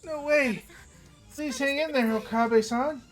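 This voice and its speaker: A man's voice: very high-pitched, a little slow, pitched above the performer's own voice. A bashful, girlish teenage boy from a visual novel speaks in a raised, tentative voice, as a man puts him on. He hesitates and stays politely anxious, with faint music beneath him. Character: shy gentle teenage boy, girlish and timid.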